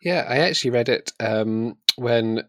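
A man's voice: mid-range voice, measured pace, no echo, studio quality. A man co-hosting a podcast, chatting casually about books in a hesitant, self-correcting way. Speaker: podcast co-host. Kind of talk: casual book chat.

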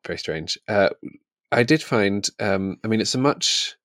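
A man's voice: fairly low pitch, expressive, a little slow, a bit bright, dry recording, studio quality. A man co-hosting a podcast in a casual book discussion, speaking in a tentative, thoughtful way.

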